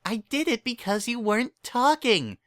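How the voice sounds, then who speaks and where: A hyperactive young mare from a cartoon world, played by a man, pitched way up high. She gushes and chatters with zealous party-planner energy, in bright, bouncy swoops. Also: big pitch swings, normal speed, no room sound, studio quality, full character voice. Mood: insistent.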